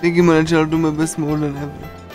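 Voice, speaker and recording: Male streamer, slightly higher-pitched, casual halting read-aloud of a visual novel, light music behind. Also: level tone, a little mellow. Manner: halting, pieced-together delivery. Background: game music.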